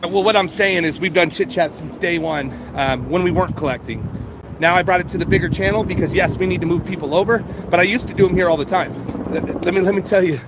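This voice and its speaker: A man's voice: high-pitched, fast. A man chatting casually and informally to viewers as a livestream host, swearing now and then and addressing them directly. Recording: noisy outdoor street and vehicle background.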